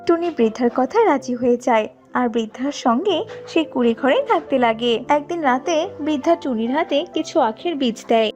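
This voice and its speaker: High-pitched woman narrating a cartoon tale. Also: brisk, a little mellow. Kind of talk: scripted cartoon story narration. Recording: slightly dull audio with music behind.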